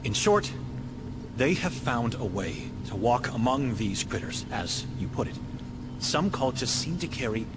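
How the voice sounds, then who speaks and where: Fussy male scientist, pedantic, formal, hesitant, mid-pitched voice, game cutscene, clear background hum. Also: sing-song, measured pace. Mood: clinical, fascinated. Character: fussy, detached male scientist doctor fascinated by specimens. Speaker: video game actor. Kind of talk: cinematic game dialogue.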